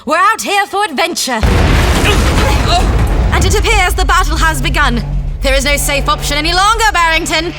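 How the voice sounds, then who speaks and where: Bright high-voiced woman, crisp and bossy, lively delivery, music low behind. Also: in a large hall. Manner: brisk, prim and unflappable. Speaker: lead character, an explorer wife. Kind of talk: radio drama acting.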